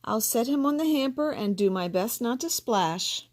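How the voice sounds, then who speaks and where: A woman's voice: on the high side, expressive, normal speed, darkish tone, lightly acted. A woman reading as a distracted, busy dad. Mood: mild. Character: distracted, busy father of a boy.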